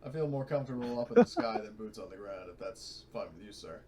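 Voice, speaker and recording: A man playing a dropship pilot in a tabletop roleplaying game, reserved and deferential, quiet and distant. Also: lowish voice, lively intonation, fast.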